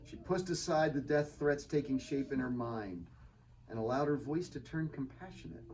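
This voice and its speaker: A man's voice: medium pitch, normal speed, darkish tone. A man narrating a scripted story as its author in a dramatic live reading. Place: live reading at a bookshop event. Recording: distant mic, faint room noise.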